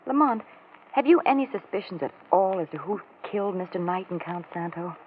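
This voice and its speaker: A woman playing a concerned, quick-witted lady companion to a sleuth in a 1940s radio mystery. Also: lowish voice, lively intonation, lightly acted. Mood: puzzled, concerned. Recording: muffled, hissy old-time radio recording.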